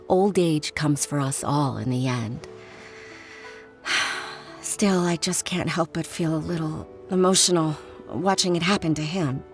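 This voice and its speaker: A woman playing a polite, dutiful young professional daughter in a video game, earnest and composed. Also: deep voice.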